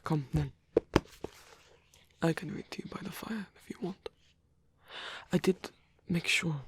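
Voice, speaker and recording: A man playing a lonely, tender old vampire with a German accent in an ASMR roleplay, speaking softly and haltingly with formal phrasing. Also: fairly high pitch, full character voice. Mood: tender, fond. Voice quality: breathy, husky. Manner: hesitant, halting, formal phrasing, soft-spoken.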